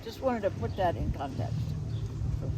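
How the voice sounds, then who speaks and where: A woman conducts a conversational interview with lively, swinging intonation. She puts short questions and acknowledgements, and clear outdoor noise sits behind her voice. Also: medium pitch, normal speed.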